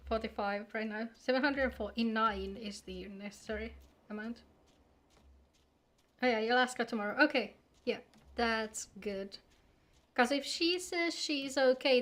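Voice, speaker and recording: A woman streaming a video game, chatting casually and playfully with her viewers, informal and free with swearing. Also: high voice. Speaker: live streamer playing an online game. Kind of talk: casual gaming livestream chat.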